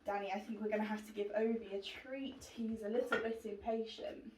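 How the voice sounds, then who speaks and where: A woman's voice: medium pitch, steady tone, a little fast, background noise. Echoey, distant woman presenting for children. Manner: chatty, addressing children and pets. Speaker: book club host. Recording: roomy echo, distant mic.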